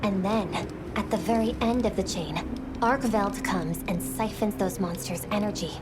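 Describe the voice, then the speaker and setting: A woman playing a composed, decisive seasoned monster hunter in a video game, with a measured, self-assured manner. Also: mid-range voice, natural voice. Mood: serious, firm. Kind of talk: video game cutscene acting. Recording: loud music behind the voice.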